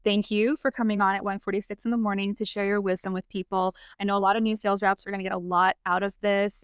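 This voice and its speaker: A woman's voice: medium pitch, fairly bright tone, no echo, clean studio sound. A woman hosting an interview podcast over a video call, bubbly and effusive, laughing often. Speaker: podcast host and sales coach. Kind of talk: casual interview chat.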